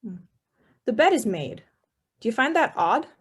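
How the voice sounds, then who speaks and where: A woman's voice: on the high side, big pitch swings, moderate pace, breathy edge. A young woman playing a suspicious male police inspector in a radio play, asking clipped, methodical questions in a formal way. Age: young adult. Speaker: student actor.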